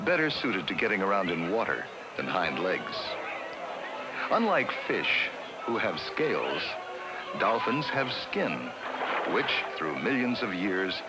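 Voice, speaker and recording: A man giving measured, scripted narration for a 1960s classroom educational film. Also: deep voice, big pitch swings, loud music behind the voice, rough recording. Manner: measured, explanatory.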